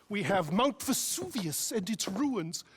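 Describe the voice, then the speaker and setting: A man's voice: high-pitched, big pitch swings, takes it slowly, noisy background, heavily acted. A man playing an effusive, fussy and flamboyant old-time portrait photographer in a video game. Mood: effusive, salesmanlike. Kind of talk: theatrical video game acting.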